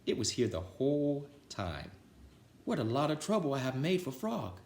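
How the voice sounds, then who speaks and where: A man puts on a grumpy, exasperated toad for a children's storybook. He exclaims and wails his complaints in a very animated voice that jumps up and down in pitch, with audible room echo. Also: normal speed, creaky. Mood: remorseful.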